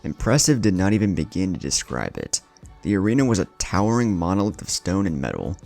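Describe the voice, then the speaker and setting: Male story narrator, very low and gravelly, lively intonation, light music bed. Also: fairly quick. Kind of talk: scripted narration of fan fiction. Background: background music.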